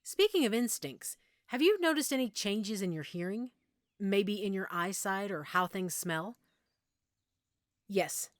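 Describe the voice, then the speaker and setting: A woman playing a friendly werewolf pack member in an intimate audio roleplay, patiently explaining and gently reassuring the listener. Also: medium pitch, no room sound, clean studio sound, close to an everyday voice. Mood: patient, explanatory.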